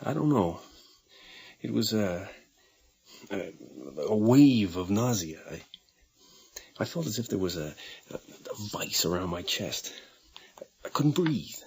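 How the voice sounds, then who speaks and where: A man's voice: fairly low pitch, lively intonation, a little slow, vocal fry, long pauses, darkish tone, breathy edge, full character voice. An actor playing an anxious, troubled American father in a radio drama, hesitant and defensive in his speech. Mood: shaken, uneasy. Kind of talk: naturalistic radio drama acting.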